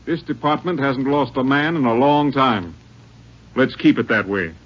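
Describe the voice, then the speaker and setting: Authoritative police captain actor, muffled vintage radio. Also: male voice, medium pitch, moderate pace, close to an everyday voice. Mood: businesslike, concerned. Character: businesslike, protective police captain, a man. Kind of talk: 1950s radio drama acting. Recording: dull, muffled old radio recording.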